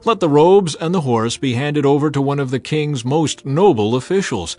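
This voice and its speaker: A boastful, scheming court official acted out by a man speaks grandly and emphatically. The pitch is lifted and sweeps up and down, with faint music behind, as in a dramatized audiobook. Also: no echo, close to an everyday voice. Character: boastful, vain, scheming male royal official. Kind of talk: dramatized audiobook character acting.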